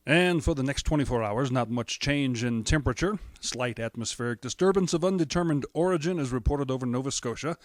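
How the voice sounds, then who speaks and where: A man's voice: medium pitch, dry recording, clean studio sound, lightly acted. A man playing a matter-of-fact weather announcer in a 1930s-style radio drama, formal and factual.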